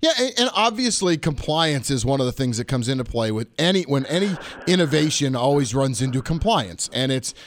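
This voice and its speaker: A man hosting a radio interview, chatty and jokey with lively jumps in pitch. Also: brisk, few pauses, no echo. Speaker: radio show host.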